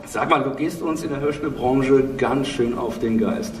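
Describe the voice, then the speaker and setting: Male moderator, forties, medium pitch, roomy live sound with clear noise. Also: normal speed. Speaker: panel host, audio drama label maker. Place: on stage in a dark event hall. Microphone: handheld microphone, heard through room. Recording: echoey hall, distant, clear background noise.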